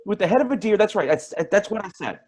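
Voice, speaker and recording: An actor playing a rattled, defensive man giving halting, emphatic testimony in a dramatic staged reading. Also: high-pitched, expressive, darkish tone, breathy edge. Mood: irritated. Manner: halting, emphatic, repeats himself. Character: rattled, defensive man testifying about an eerie deer encounter.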